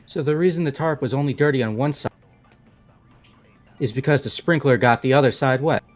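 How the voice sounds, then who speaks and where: A man's voice: medium pitch, fairly quick, lightly acted. A man voicing a casual, plain-spoken young male student in fan-made visual novel game dialogue. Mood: reasoning. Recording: music behind the voice.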